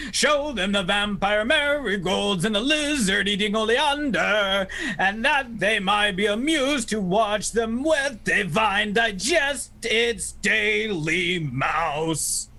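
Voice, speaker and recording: A man's voice: sits high, animated, slow pace, sharp tone, full character voice. A man playing a scheming, mock-courteous villain in a 1940s-style radio play, silky and condescending. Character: scheming, mock-courteous mad villain leader of warring vegetables.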